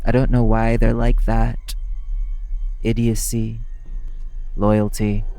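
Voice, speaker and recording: A man's voice: fairly low pitch, completely flat delivery, slow, gravelly, dark tone, dry recording, faint music behind. A man playing a cold, cynical, threatening vampire in an urban fantasy audio roleplay, speaking in a blunt, coolly dismissive manner. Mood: irritated, blunt.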